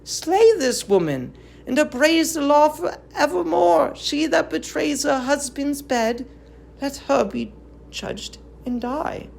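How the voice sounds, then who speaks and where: An aged queen of Greek tragedy, as a woman acts her out, grieving and accusing. Her speech is slow and declamatory, with some creak, and she pleads with impassioned bitterness. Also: high voice, lively intonation, a little mellow, a little hiss or hum, pitched above the performer's own voice, exaggerated voice. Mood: vengeful appeal.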